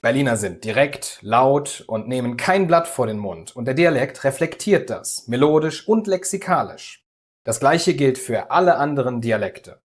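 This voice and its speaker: Calm man explaining a language lesson. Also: mid-range voice, normal speed. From Düsseldorf area, Germany. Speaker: language teacher, host.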